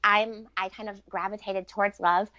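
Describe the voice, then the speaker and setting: A woman's voice: mid-range voice, fairly even intonation, moderate pace, no room sound. A middle-aged woman talking as an interview guest in an earnest, reflective and informal conversational way. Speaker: interview guest, relationship author and coach. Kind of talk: conversational podcast interview answers. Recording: dull, lo-fi sound.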